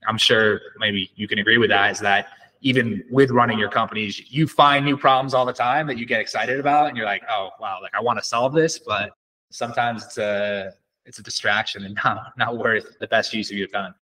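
Male podcast guest, lowish and forceful bright tone, conversational entrepreneur explaining his approach. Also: fairly even intonation, brisk, low-quality recording. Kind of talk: casual conversational interview.

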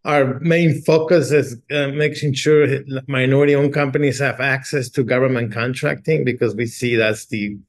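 This is a middle-aged man from Mexico, speaking as a guest about his organization. He sits at an ordinary male pitch and talks in a loose, halting way, full of restarts and fillers. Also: fairly even intonation. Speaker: chamber executive guest. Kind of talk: casual interview talk.

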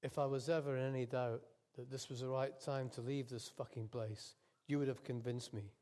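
A man's voice: mid-range voice, level tone, moderate pace, a little mellow, pitched below the performer's own voice, natural voice. A curt, bitter young woman, as a Scottish man puts her on.

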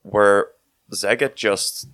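A man's voice: fairly low pitch, drawn-out words, fairly bright tone, no echo, clean studio sound. A man co-hosting a sports podcast in casual conversation. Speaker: podcast co-host.

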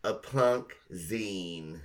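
A man's voice: low voice, extremely slow. A middle-aged man co-hosting a podcast, reminiscing in casual chat with an informal, emphatic, sweary manner. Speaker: podcast co-host.

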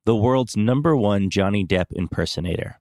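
A man's voice: on the low side, moderate pace, a little mellow, dry recording, clean studio sound, soft background music. A man hosting a podcast, reading scripted narration in an upbeat, wry way and asking interview questions. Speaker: podcast host.

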